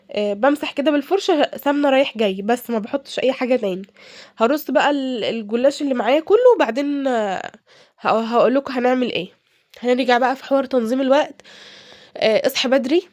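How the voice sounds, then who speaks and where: Quick-talking young Egyptian woman with a cold. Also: sits high, a little mellow, dry recording, clean studio sound. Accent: Egyptian Arabic. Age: young adult. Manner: chatty, many fillers and restarts. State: congested from a cold. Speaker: cooking vlogger. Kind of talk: casual advice while cooking.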